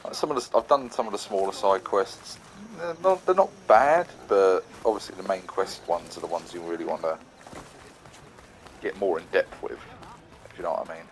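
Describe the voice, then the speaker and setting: A man with a cold and a rough throat, chatting casually and rambling as he narrates his gameplay. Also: low voice, moderate pace, a little hiss or hum, low-quality recording. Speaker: gaming channel host.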